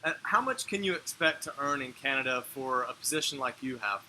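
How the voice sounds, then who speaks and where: A man's voice: mid-range voice, animated, fairly quick, bright, forceful tone, a little hiss or hum, low-quality recording. A man hosting an interview, asking short questions and giving brief acknowledgements. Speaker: interviewer, channel host.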